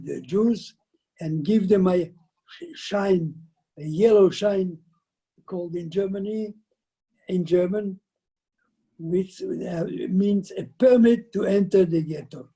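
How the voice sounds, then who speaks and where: An elderly man in his nineties tells his life story in an interview. His voice is very dark and husky, and he speaks slowly. He often halts and repeats words before finishing a thought. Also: high voice, rough recording. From Lithuania. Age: 90s. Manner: halting, with repeated words and broken sentences. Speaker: guest, survivor giving testimony. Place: indoors at home, by shelves of framed photos. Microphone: video call, computer microphone.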